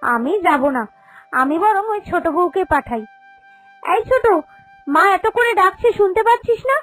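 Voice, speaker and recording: Shrill, crafty middle daughter-in-law woman acting. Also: sing-song, normal speed, a bit bright, soft background music, exaggerated voice. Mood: grumbling. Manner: grumbling, excuse-making. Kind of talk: animated cartoon dialogue.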